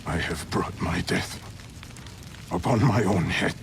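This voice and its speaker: An actor playing a proud, defeated middle-aged Japanese warlord in a video game, speaking gravely and solemnly as he faces death. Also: low-pitched, takes it slowly, slight background noise, full character voice. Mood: resigned, grave. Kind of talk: dramatic video game cutscene acting.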